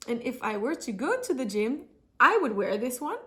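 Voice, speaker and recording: A woman's voice: a little high, very animated, moderate pace, a bit bright. A woman with a cold and a slightly nasal, blocked-up voice, chatting casually through a perfume review with lots of fillers and restarts. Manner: chatty, many fillers and self-corrections. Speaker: fragrance vlogger.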